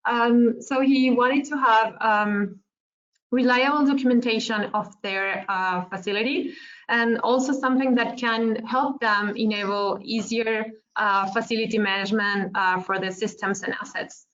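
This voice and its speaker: A woman's voice: on the high side, steady tone, a little slow, a bit bright. Woman from Argentina on a muffled call. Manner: friendly, hesitant with many fillers. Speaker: webinar presenter. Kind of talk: webinar presentation. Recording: muffled lo-fi online call audio.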